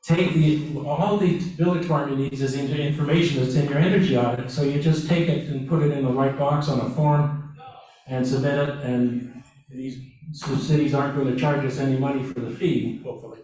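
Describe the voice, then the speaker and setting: A man giving a webinar presentation, speaking haltingly with restarts and fillers, recorded from a distance in a meeting room. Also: mid-range voice, fairly even intonation, warm tone. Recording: echoey room, from far off.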